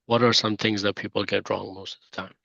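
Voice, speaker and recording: A man hosts a podcast interview, pausing often on "um" and "uh" as he speaks. His lowish voice stays flat and even. The audio is dull and dry. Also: vocal fry, no room sound. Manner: halting, many "um" and "uh" fillers. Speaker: podcast host.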